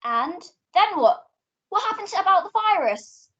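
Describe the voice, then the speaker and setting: A woman's voice: very high voice, big pitch swings, normal speed, fairly bright tone, full character voice. A young woman playing a curious, cheeky daughter in an amateur radio play. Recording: dull lo-fi home recording.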